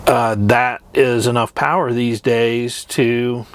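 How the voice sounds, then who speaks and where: Slow-talking middle-aged man, casual and hesitant. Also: mid-range voice, steady tone. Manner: hesitant, pausing, reminiscing. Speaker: trucker vlogger. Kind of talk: casual rambling chat to camera.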